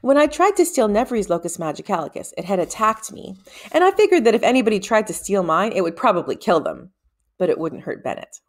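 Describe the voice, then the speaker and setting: A woman's voice: medium pitch, sing-song, a little fast. A woman voicing a plucky, cheeky boy thief in a storybook, frank and matter-of-fact. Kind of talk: storybook character voice.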